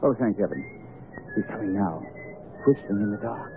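An actor playing a tense, commanding detective in a radio mystery drama, speaking urgently and dramatically. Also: male voice, lowish voice, expressive, normal speed, background noise, lightly acted. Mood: anxious, urgent. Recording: tinny band-limited radio broadcast with music.

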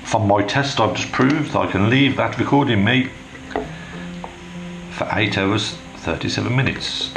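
A man with an English accent and a very deep voice, chattily reviewing a camera for his motorbike vlog through a helmet microphone. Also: a little slow, vocal fry, music in the background, rough recording. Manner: chatty, explains as he goes. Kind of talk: casual product review vlog. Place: home and garden, then outdoors by his motorbike. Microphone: helmet microphone of action camera.

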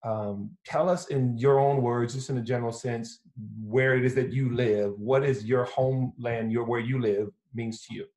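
A middle-aged man moderating a panel on a video call, asking drawn-out, rambling questions. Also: mid-range voice, fairly even intonation, darkish tone. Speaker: moderator, pastor. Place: home office.

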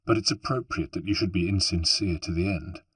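Male reader plays a young assassin, cold and menacing, husky low hiss. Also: steady tone, fairly quick, fairly bright tone, no echo.

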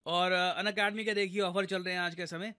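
Indian guy doing news explainer, highish smooth voice, talks right to viewers, dry sound. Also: little pitch movement, moderate pace, bright, forceful tone, no room sound. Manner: conversational, explains step by step, addresses viewers directly. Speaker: news commentator, video host.

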